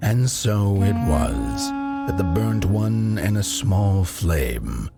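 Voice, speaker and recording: An actor playing a grave old storyteller, narrating a dark fantasy game tale in a solemn, portentous manner. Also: male voice, low voice, sing-song, slow, creaky, full character voice. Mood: solemn, hushed. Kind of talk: scripted dramatic narration. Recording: music behind the voice.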